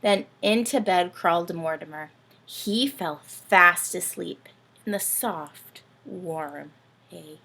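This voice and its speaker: A woman reading a picture book aloud for young children in an expressive, dramatic storytelling style. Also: lowish voice, slow, vocal fry, a little hiss or hum.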